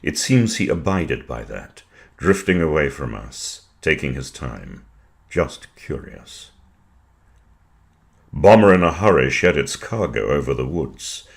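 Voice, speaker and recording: Man, slow poetry recital, creaky low register, precise dramatic phrasing, deliberate pauses. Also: big pitch swings. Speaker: poetry reader. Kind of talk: measured dramatic poetry reading.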